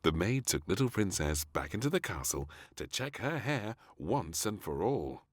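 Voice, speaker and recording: A man narrating a scripted children's story in an expressive, theatrical manner. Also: lowish voice, normal speed, no room sound, clean studio sound.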